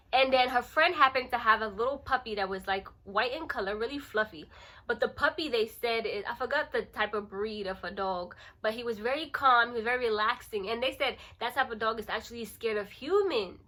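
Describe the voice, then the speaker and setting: A woman of thirty with a bright, forceful, fairly high voice talks like a lifestyle vlogger. She is playful and animated, chatting casually and leaning on words and repeated phrases for emphasis. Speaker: lifestyle vlogger and channel host. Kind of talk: casual chatty vlog to camera.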